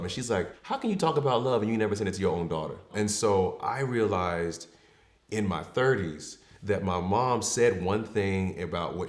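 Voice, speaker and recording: A man in his thirties talks openly about his life, reflective and unhurried, with plenty of "um" and "like" along the way. His voice is very low and deep. The room around him is echoey and bounces the sound back. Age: 30s. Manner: reflective and open, with frequent fillers. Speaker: podcast guest. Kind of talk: candid personal storytelling.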